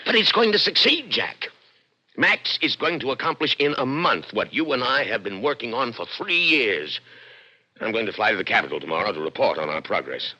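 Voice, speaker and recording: Forceful, raspy, proud inventor. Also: male voice, medium pitch, animated, a little fast, exaggerated voice. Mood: dismissive, persuading. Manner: emphatic, lecturing, imperious. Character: proud, coldly rational inventor, a doctor and father. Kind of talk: 1960s radio drama acting. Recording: dull old radio broadcast recording.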